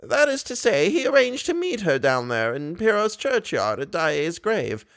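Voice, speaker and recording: A man's voice: high-pitched, huge pitch swings, moderate pace, gravelly, fairly bright tone, voice pushed up, exaggerated voice. A man reading aloud, voicing a kindly, bedridden old woman who speaks with simple, cheerful faith. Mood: earnest.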